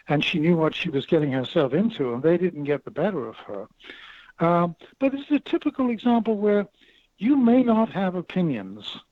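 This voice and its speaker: A man with a high voice speaks as a professor guest, pressing his points with measured emphasis and repetition. The sound is dry and a little dull. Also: a little mellow, no echo. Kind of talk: talk radio discussion.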